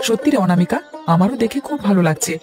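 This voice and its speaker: A man voicing an earnest, excitable young village man in a cartoon. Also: high-pitched, fast talker. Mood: eager, pleased. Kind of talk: cartoon voice acting. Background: instrumental music.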